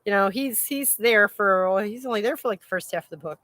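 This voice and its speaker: A woman chatting casually as host of a live stream, rambling in an animated, exclaiming way with casual swearing. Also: mid-range voice, brisk, no room sound.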